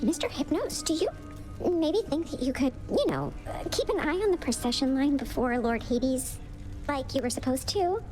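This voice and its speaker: A woman's voice: sits high, animated, normal speed, a little mellow, faint music behind. A woman playing a timid, awkwardly polite underworld servant in a video game, hesitant and chirpy. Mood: awkward, reproachful.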